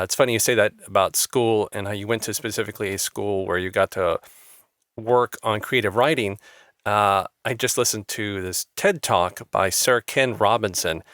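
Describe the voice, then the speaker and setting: A man's voice: lowish voice, a bit bright, no room sound, studio quality. A man hosting an interview show, upbeat and effusive in how he talks to his guest. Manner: upbeat and effusive, with scripted-sounding intros. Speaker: podcast host.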